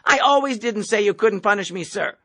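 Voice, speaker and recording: A man's voice: high voice, animated, fairly quick, fairly bright tone, pitched above the performer's own voice. A man reading an audiobook, voicing a terrified young cadet who stammers and pleads politely. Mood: flustered. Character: terrified young male air cadet, timid and flustered. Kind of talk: audiobook character voice.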